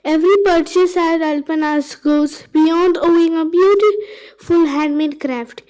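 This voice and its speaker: A very high-pitched female voice with an Indian accent delivers a prepared introduction. She speaks slowly and carefully, as if reciting, at a forum. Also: steady tone, darkish tone. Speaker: forum participant representing an organization. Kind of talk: prepared speech introducing an organization.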